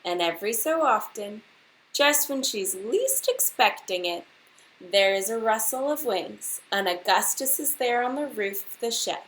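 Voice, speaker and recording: Slow-paced woman reading a children's storybook. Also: a little high, rough recording. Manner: deliberate, expressive, addresses children directly. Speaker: teacher reading aloud to a class. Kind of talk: expressive children's storybook read-aloud with questions to listeners.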